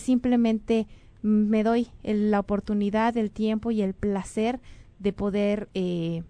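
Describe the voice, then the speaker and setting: A woman's voice: medium pitch, dark tone, no echo. A Mexican woman hosting a show, talking earnestly and rambling with fillers and self-corrections. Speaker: radio or online show host. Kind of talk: casual spoken show announcements and guest introduction.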